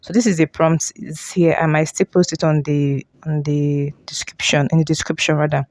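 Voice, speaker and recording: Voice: medium pitch, flat delivery, normal speed, darkish tone, no echo, clean studio sound. A tutorial host explaining things informally, often repeating and rephrasing along the way. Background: music.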